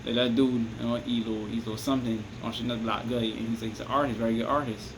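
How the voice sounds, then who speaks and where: A man's voice: medium pitch, fairly even intonation, fast, noisy background. A Barbadian man rambling through casual advice to his viewers, restarting sentences and asking "you understand me?" again and again. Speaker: vlogger giving advice. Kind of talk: casual advice monologue to camera.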